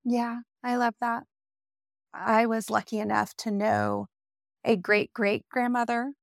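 A woman hosting an interview, affirming her guest and sharing her own thoughts in a warm, encouraging way. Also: on the high side, fairly even intonation, takes it slowly, gravelly, no echo, clean studio sound. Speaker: podcast host. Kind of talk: interview conversation.

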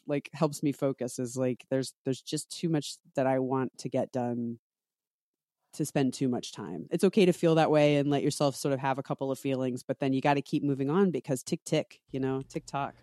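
A woman's voice: a bass voice, fairly quick, warm tone, no room sound. Female writer interviewee, informal and self-deprecating, recorded dry with no room sound. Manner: self-deprecating, laughs while talking. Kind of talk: casual chat.

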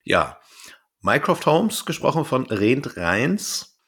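A man's voice: mid-range voice, sing-song, takes it slowly, a bit bright, husky. A man co-hosting a podcast in a casual, conversational style. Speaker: podcast co-host. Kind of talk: casual podcast talk, presenting a cast list.

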